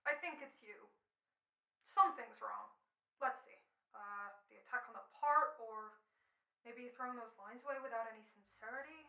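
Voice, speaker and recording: A woman's voice: sits high, roomy sound. Woman as fussy director, distant and muffled. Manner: hesitant, fussy critiquing. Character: George, dissatisfied male director calling from control booth. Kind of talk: old-time radio drama acting.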